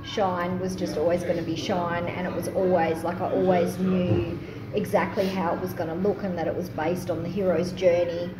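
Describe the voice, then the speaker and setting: Female guest poet, lowish pitch, informal storytelling, echoey venue, noticeable background noise. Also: flat delivery, moderate pace. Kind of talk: casual live interview storytelling. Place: live in a taproom bar. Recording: echoey, noisy live venue recording.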